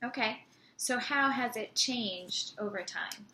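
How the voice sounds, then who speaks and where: A young woman interviewing a patient, asking steady questions with some fillers. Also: fairly high pitch, takes it slowly. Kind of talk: clinical interview.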